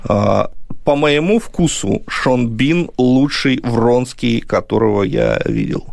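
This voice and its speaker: A man's voice: medium pitch, very animated, slow, gravelly, darkish tone, dry recording, studio quality. A man talking on a radio show, thoughtful and a little hesitant. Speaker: radio show guest host. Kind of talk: radio talk.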